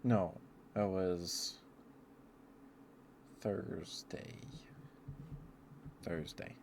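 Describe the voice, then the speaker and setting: A man's voice: sits low, fairly even intonation, extremely slow, gravelly, noisy background. A man chatting in a meandering, casual way as he hosts an ASMR livestream. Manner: meandering, often chuckling to himself. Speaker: ASMR streamer, host. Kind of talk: rambling ASMR livestream chat.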